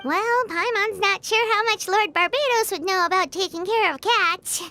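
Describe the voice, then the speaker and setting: A woman voicing a tiny, excitable childlike fairy companion in a game, with a squeaky voice and chirpy, expressive manner. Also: normal speed. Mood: confident. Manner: chirpy, expressive, speaks of herself in third person. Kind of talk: English game dub voice acting. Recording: loud background music.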